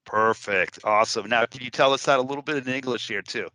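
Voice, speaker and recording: Cleaning biz guy hosting an interview, bright tone, dry sound, loose chatty talk. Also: mid-range voice, fast talker, no room sound. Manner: loose and chatty, with many fillers. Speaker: interview host, cleaning business owner. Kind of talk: casual interview.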